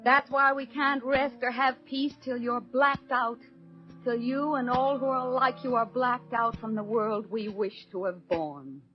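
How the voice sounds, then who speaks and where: Actress as American housewife, solemn orator, slow measured delivery, 1940s radio drama, muffled sound, resolute. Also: female voice, on the high side, fairly even intonation, natural voice. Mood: defiant, accusing. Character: resolute American housewife and mother, defiant, plainspoken. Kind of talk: 1940s radio acting, dramatic poetic monologue. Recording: muffled old radio broadcast, faint music.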